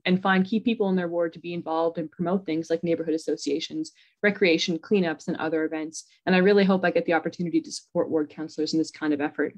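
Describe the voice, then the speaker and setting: Earnest low-voiced female candidate. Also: darkish tone, dull audio. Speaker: election candidate. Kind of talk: answering a debate question.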